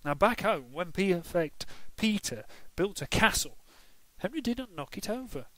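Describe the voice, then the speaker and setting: A man reading a children's storybook aloud, expressive and emphatic, with playful asides. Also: high-pitched, normal speed, dry recording, rough recording.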